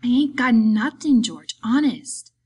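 A woman voicing a big, simple, childlike male farm laborer in an audiobook, eager and pleading, with halting, repetitive, rustic speech. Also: a little high, expressive, mellow, husky, pitched above the performer's own voice, full character voice. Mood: anxious, evasive.

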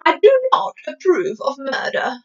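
A woman plays a principled storybook queen. She is commanding and measured, with a high, authoritative voice. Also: huge pitch swings, dull audio, heavily acted. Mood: firm.

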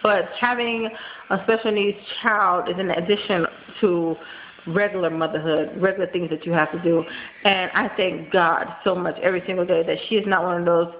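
A woman in her thirties with a nasal voice, congested from a cold and tired, talking fast in a rambling casual vlog full of ums and likes, recorded close on a webcam in a small room at home. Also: on the low side, fairly even intonation. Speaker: vlogger. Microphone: built-in webcam mic, close. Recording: dull lo-fi webcam audio with faint hiss.

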